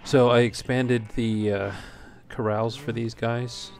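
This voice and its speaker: A man's voice: mid-range voice, flat delivery, slow, a little mellow. A man giving casual, unscripted gameplay commentary as a let's play host, talking in an easygoing, hesitant ramble. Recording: clearly noisy home recording.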